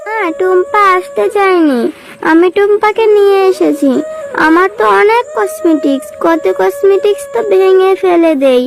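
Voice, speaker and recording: A woman's voice: extremely high pitch, moderate pace, background noise. A woman voicing an earnest little jackal girl in a children's cartoon, with a childlike, plaintive way of talking over music. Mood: earnest pleading. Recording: music behind the voice.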